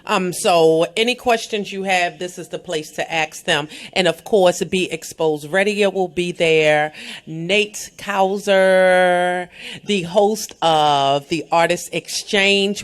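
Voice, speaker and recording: An American woman hosting a radio interview, talking in an emphatic, lively way with frequent "um" and direct calls to her listeners. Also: fairly low pitch. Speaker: radio host. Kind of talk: radio interview and event promotion.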